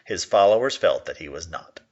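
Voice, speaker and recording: A man giving an expressive reading of a gothic short story, now and then stumbling and correcting himself. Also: low voice, fairly quick, dull audio. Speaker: reader of a classic short story. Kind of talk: dramatic reading of a gothic tale.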